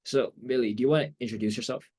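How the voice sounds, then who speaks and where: A man's voice: medium pitch, steady tone, quick pace, darkish tone, slightly rough. A young man hosting a student podcast in an upbeat casual style, often saying "absolutely". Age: young adult. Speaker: podcast host.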